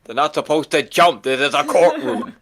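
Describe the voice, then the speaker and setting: A man's voice: a little high, normal speed, noisy background, close to an everyday voice. A man voicing a stuffy, easily baffled old courtroom judge in a video game, formal and literal-minded. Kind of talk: amateur video game voice acting. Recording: loud music behind.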